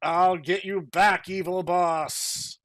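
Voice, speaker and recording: A man's voice: sits high, fairly even intonation, slow pace, fairly bright tone, no room sound. A man voicing an earnest, melodramatic action hero in a stilted, declamatory mock-dramatic reading. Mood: urgent, yelling. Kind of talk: mock-dramatic reading of amateur fan fiction.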